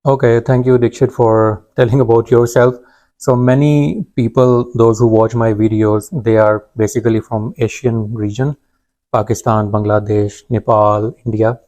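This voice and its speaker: A man's voice: lowish voice, mellow. A man hosting an interview, polite, with frequent murmured backchannels. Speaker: host.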